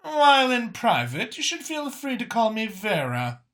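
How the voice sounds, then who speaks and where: A self-assured alien chairwoman, acted out by a man, speaks slowly with a wide, swooping melody. She is articulate and gracious, with a bright edge and a pleased, cerebral air fit for an audiobook. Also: extremely high pitch, wildly swooping pitch, pitched above the performer's own voice, heavily acted. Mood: playful, friendly. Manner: measured, articulate, self-satisfied. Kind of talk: audiobook character acting.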